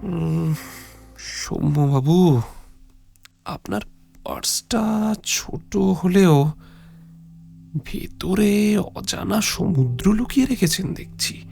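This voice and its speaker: A shrewd, wry young drifter in an audio drama. His voice is high and creaky, with slow, drawn-out phrasing. He tends to mutter. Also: lively intonation, darkish tone, soft background music, full character voice. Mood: wry, musing. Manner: muttering, drawn-out, drily amused. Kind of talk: Bengali audio story acting.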